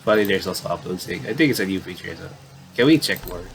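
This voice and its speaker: Low-voiced man doing noisy game commentary. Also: lively intonation, quick pace, a bit bright. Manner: stumbles and repeats phrases while reading. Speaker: gaming commentator reading a visual novel aloud. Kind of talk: casual playthrough commentary mixed with reading dialogue aloud.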